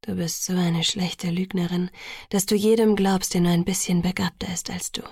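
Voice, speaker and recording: A patient, soothing young lover in an audiobook, played by a woman. He speaks in a soft murmur, breathy and gravelly at the edges. The sound is close and dry. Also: lowish voice, level tone, normal speed, no echo. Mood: light, amused. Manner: hushed, murmured, softly spoken. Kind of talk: audiobook dialogue.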